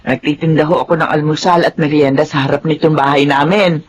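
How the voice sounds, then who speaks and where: An actress playing a humble, loyal middle-aged former housemaid in a radio drama, polite and deferential. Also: female voice, sits low, steady tone, a little fast, slight background noise, close to an everyday voice.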